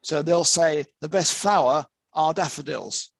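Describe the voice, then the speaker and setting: A man's voice: fairly high pitch, measured pace, creaky, slightly rough, no echo. A man teaching interpreters in an online master class, speaking conversationally and repeating phrases as he works through examples. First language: English. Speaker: interpreting lecturer. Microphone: video call.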